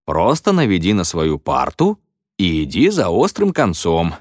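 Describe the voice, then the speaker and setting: A man's voice: sits low, huge pitch swings, measured pace, no room sound, clean studio sound, heavily acted. A man voicing a wry, good-humoured male teacher calling out to his class in an audiobook.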